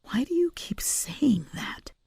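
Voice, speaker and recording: A woman's voice: sits high, big pitch swings, slow, vocal fry, mellow, breathy edge, no room sound, whispered, studio quality, pitched above the performer's own voice, exaggerated voice. A woman voicing a tough, protective female cat burglar with a curt, stern manner, in an audiobook story. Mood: probing, surprised.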